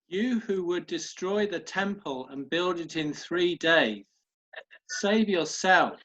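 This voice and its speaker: Muffled video-call sound carries a scornful onlooker in a Passion reading, played by a woman. The voice is lowish and very unhurried, deriding in a deliberate way. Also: expressive, a bit bright, full character voice. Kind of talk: amateur dramatic scripture reading. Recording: dull video-call audio.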